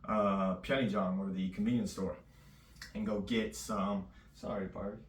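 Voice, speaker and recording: A man chatting casually in a loose, rambling vlog, his voice a little raspy. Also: fairly low pitch, steady tone, a little slow. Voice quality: slightly raspy. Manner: loose and rambling, full of fillers. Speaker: vlogger. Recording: echoey room, distant mic.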